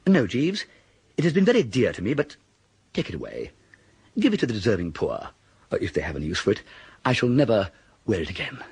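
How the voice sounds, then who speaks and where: Radio comedy: upper-class English young fop, quick, lively, slightly husky, self-righteous blither. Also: male voice, fairly low pitch, full character voice, rough recording. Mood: resigned. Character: foppish, cheerfully indignant upper-class young English gentleman. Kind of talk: broadcast radio comedy acting.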